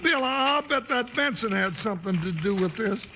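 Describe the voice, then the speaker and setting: An actor playing a comic, excitable cowboy sidekick with folksy, grumbling American talk in a 1950s radio Western. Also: male voice, normal speed, heavily acted. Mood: indignant. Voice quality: high-pitched, bright voice. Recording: noisy old 1950s radio recording.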